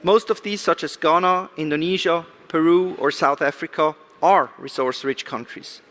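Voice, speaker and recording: A man speaks in a somewhat high register, delivering a formal, prepared address at a conference. He sounds like an official at the podium, measured and rhetorical, putting weight on his key words. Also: a bit bright. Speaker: government official giving a speech. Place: conference event, speaking to an audience.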